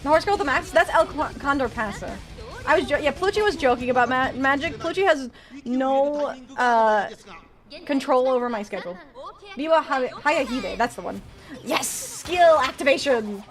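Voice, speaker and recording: A young woman streaming a game, chatting with her viewers and cheering loudly through the races, though her voice is growing worn. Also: high-pitched, expressive, moderate pace, faint music behind. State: losing her voice. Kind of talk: live game stream commentary with chat.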